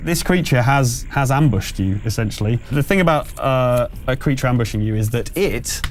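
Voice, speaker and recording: A man narrating as game master of a tabletop horror game, improvising and explaining rules with halting pauses. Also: medium pitch, expressive, slight background noise.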